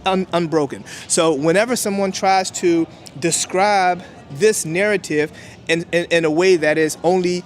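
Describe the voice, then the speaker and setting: A man's voice: high-pitched, moderate pace, faint music behind. A man whose first language is Hebrew, explaining history emphatically in an interview outdoors. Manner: emphatic, lecturing, with many "right?" checks. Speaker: interview guest.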